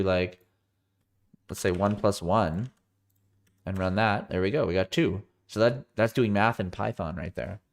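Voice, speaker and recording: A man with a cold walking through his code on a live stream, tired and thinking aloud, often correcting himself. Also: fairly low pitch. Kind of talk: live coding walkthrough.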